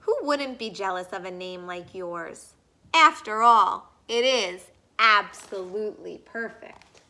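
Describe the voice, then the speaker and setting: A woman's voice: mid-range voice, slow, bright, forceful tone. A woman reading a storybook as a doting, reassuring mother, emphatic and soothing. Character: reassuring, doting mother comforting her child. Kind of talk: storybook character voice.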